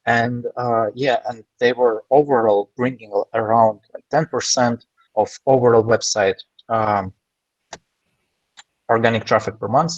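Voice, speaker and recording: Man participant, halting delivery, muffled dry call audio. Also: mid-range voice, fairly even intonation, no room sound. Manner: hesitant, many fillers, explanatory. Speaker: roundtable participant. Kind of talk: casual online roundtable chat. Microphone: video call.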